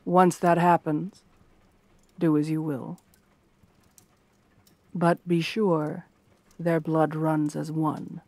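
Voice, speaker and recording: A woman playing a stern, scheming royal guard captain in a fantasy roleplay, formal, measured and commanding. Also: deep voice, flat delivery, a little mellow, dry recording, full character voice. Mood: cold, commanding. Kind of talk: scripted fantasy ASMR roleplay.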